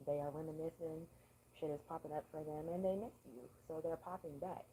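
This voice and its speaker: A woman hosting a casual livestream chat, hoarse after losing her voice, talking in a laid-back, profane way. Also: deep voice, steady tone, fairly quick, dark tone, slight background noise, low-quality recording. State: hoarse, lost voice. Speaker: livestream host.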